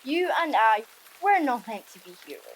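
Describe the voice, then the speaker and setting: A self-deprecating young Viking boy, played by a woman in an audiobook. The voice is high and swings animatedly in pitch, full of self-doubt. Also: very animated, normal speed, low-quality recording. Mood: glum, resigned. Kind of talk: audiobook character acting.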